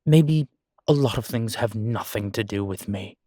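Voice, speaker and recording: A man playing a guilt-ridden, centuries-old vampire in an audio drama, speaking gravely and formally with weary, deliberate pauses. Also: lowish voice, mellow, no room sound, studio quality. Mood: defensive, frustrated. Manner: slow, grave, deliberate phrasing with long pauses. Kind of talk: dramatic audio roleplay acting.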